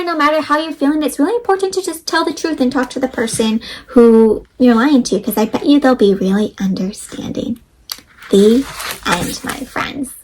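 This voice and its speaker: High-pitched woman reading to children. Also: low-quality recording. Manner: animated, addresses children directly as friends. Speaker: host of interactive read-alouds for children. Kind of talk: expressive children's storybook read-aloud with questions to young listeners.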